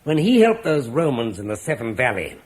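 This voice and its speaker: An actor playing an irritable, stubborn Roman landowner who blusters indignantly in a radio play. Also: male voice, medium pitch, sing-song, brisk, lightly acted. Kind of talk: 1950s radio play acting.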